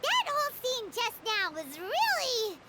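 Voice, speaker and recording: A woman voicing a tiny, excitable, childlike fairy companion with a squeaky voice in a video game. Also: unhurried, heavy background noise, heavily acted. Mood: surprised, exasperated. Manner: refers to self in third person, exclamatory. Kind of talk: animated video game voice acting. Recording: loud music under the voice.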